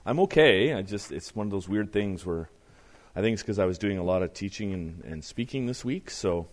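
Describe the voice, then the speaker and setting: A pastor with a very low, strained voice delivers a sermon. He speaks earnestly and builds emphasis as he reads scripture aloud. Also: animated, brisk. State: voice strained, deeper than usual.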